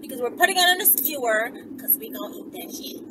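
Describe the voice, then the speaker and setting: A woman chatting casually in a rambling storytime to her followers, animated, slangy and profane, often tagging her sentences with little check-in questions. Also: high-pitched, fast. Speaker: vlogger telling stories to followers. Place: inside a car while driving. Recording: noisy moving car, distant mic.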